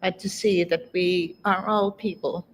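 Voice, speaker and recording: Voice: mid-range voice, fairly even intonation, measured pace, slight background noise, low-quality recording. Finnish guest speaking English, thoughtful. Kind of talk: conversational interview.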